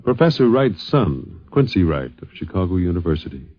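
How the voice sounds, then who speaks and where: A man's voice: low-pitched, big pitch swings, fairly quick, soft background music. A man reading scripted narration for a radio documentary, on a dull 1950s radio recording.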